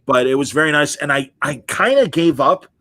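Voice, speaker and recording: A man's voice: on the high side, expressive, a little fast, bright, forceful tone, no room sound, clean studio sound. A middle-aged man hosting a casual interview, chatting in an informal, enthusiastic way. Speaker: podcast host and interviewer.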